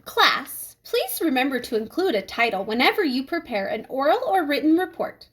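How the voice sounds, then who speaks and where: Woman acting prim, strict schoolteacher, high voice. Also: very animated, moderate pace, fairly bright tone. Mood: stern, formal.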